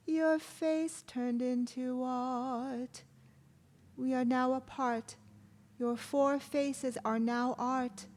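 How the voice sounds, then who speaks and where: A woman playing a grieving queen in a stage play read-through, giving a solemn, drawn-out poetic lament. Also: sits high. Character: grieving Angkorian queen mourning her warrior king.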